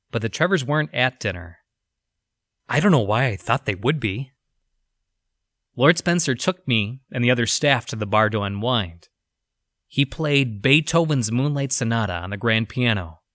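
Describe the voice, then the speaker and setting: Male actor as haunted researcher, audio drama journal, medium pitch, dry sound, somber, confessional, halting delivery. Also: a bit bright, no echo, lightly acted. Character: troubled, guilt-ridden male researcher reading his journal.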